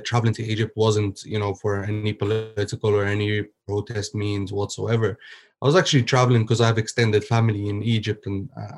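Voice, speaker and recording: A man's voice: fairly low pitch, darkish tone. A man in his twenties from Ireland, speaking as a podcast guest. He gives personal testimony in an earnest way, often restarting as he searches for words. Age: 20s.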